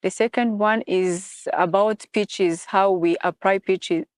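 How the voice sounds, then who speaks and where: A woman's voice: mid-range voice, fairly even intonation, slow, dry recording. Young Rwandan woman, freelance journalist, plain hesitant testimonial, dry recording. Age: young adult. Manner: simple phrasing, hesitant. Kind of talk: testimonial interview.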